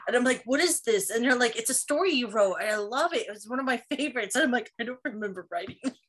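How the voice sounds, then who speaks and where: A woman with a bright, slightly high voice chats casually as a co-host. She tells anecdotes in an animated, joking way, quoting conversations as she goes. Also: quick pace, slightly rough. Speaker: podcast co-host. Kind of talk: casual chat.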